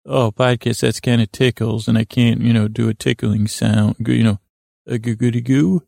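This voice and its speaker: A man hosting a bedtime podcast with a creaky, gravelly voice, rambling and stammering through silly, self-deprecating tangents. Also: medium pitch, fairly even intonation, dark tone, no room sound, studio quality. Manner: halting, stammering, meandering with tangents. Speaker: podcast host.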